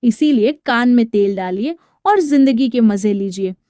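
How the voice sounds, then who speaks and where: A woman's voice: fairly high pitch, expressive, measured pace, warm tone, clean tone, no room sound, clean studio sound. A woman voicing a brazen, evasive housemaid wife in a dramatised story, retorting dismissively. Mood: scornful. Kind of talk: dramatised Hindi moral storytelling.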